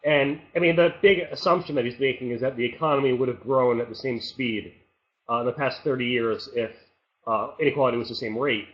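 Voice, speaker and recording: A man on a discussion panel, a writer laying out his arguments fast, with a steady stream of fillers and hedges. His pitch sits in the middle. The sound is dull and lo-fi. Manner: quick, hedging with many fillers. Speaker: guest writer.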